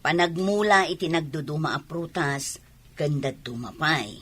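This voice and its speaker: A woman with a Filipino accent acting a curt, nagging mother from a poor mountain family in a radio drama, with a husky voice. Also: sits low, expressive, normal speed, fairly bright tone, exaggerated voice. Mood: matter-of-fact.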